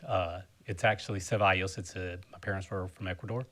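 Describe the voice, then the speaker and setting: A man's voice: low voice, fairly even intonation, fairly quick, fairly bright tone. Polite formal man addressing a council. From South Carolina, US. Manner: polite, formal, with frequent restarts. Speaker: airport general manager.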